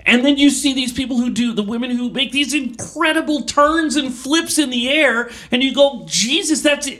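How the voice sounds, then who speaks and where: A man co-hosting a podcast, arguing in a casual debate with an animated, enthusiastic manner, often cutting in. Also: extremely high pitch, normal speed. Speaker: podcast co-host.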